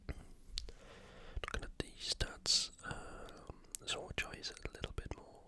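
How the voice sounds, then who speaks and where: A British ASMR host previewing sports in a soft, breathy, unhurried whisper. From United Kingdom. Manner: soft, hushed, unhurried delivery. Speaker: video host.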